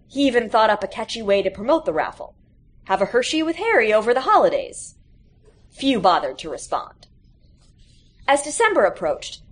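Woman reading a story with lively pitch. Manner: animated, acts out quoted characters. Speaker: reader of a short story. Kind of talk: expressive live story reading, scripted narration.

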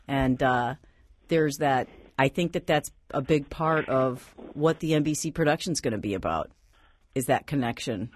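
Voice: mid-range voice, normal speed, a little hiss or hum. A radio host interviewing guests in a conversational way.

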